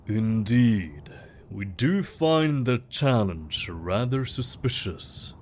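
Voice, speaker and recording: A stern, ancient vampire, acted by a man with a lowish voice and slow, deliberate speech. He is proud, formal and solemn, in a fantasy audio drama with faint rumbling noise behind him. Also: animated, a little mellow.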